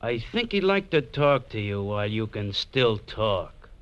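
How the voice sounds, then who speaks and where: An actor playing a small, oily gangster guard who threatens with a sneer in a 1940s radio crime drama. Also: male voice, lowish voice, big pitch swings, a little slow, loud music behind the voice. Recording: muffled, noisy old radio broadcast.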